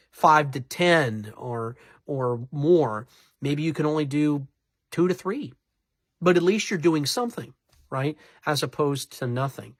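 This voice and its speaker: A man with a slightly high, nasal voice, stuffed up from congestion. He talks straight to his viewers in an informal advice style, wandering and rewording as he goes. The sound is dry, with hardly any room in it. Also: no echo. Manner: rambling, self-correcting, repeats himself for emphasis. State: congested from allergies and sinus trouble. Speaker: voiceover artist giving advice.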